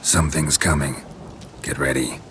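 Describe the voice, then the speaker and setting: Terse, low-voiced monster hunter. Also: male voice, moderate pace, heavy background noise. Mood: grim urgency. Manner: terse, deadpan. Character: weary, stoic monster-hunting witcher man. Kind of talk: dramatic video game acting. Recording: music behind the voice. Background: game music.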